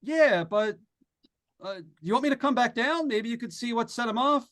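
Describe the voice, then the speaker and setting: An actor playing a skeptical, plain-spoken broadcaster in a haunted house, informal and halting, in old-time radio suspense style. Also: male voice, very high-pitched, a bit bright. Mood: uneasy.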